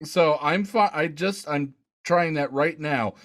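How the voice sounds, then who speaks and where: A man's voice: on the high side, takes it slowly, fairly bright tone. A man co-hosting a gaming podcast, chatting casually and thinking aloud as he tries things out in a game. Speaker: podcast co-host.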